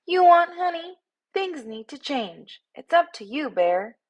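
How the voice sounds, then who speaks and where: A woman voicing a tiny, loud, bossy storybook bee who is indignant and sarcastic. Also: high voice, very animated, a little slow, voice pushed up. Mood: bossy, commanding. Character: very small loud bossy bee, fed-up worker. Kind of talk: storybook character voice.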